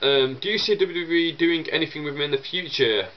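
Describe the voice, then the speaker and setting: A man's voice: on the high side, brisk, lo-fi sound. A young man with a chesty cold, rambling casually as he answers viewer questions in a vlog. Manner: chatty and rambling, many fillers and restarts. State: chesty with a cold, coughing and sniffling.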